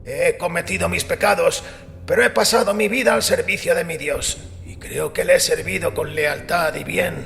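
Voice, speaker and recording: Devout old male priest, played by a man, higher gravelly voice, resolute, long church-like reverb. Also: steady tone, a bit bright, full character voice. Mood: quiet conviction. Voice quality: creaky, gravelly. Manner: solemn, deliberate, resolute. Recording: long echoing hall reverb, music underneath.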